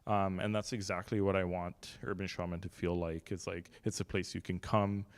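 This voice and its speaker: A man's voice: low voice, little pitch movement, moderate pace. A young man, a gallery's artistic director, giving casual welcoming remarks into a handheld microphone at a long table in a gallery room, with many fillers, restarts and light jokes.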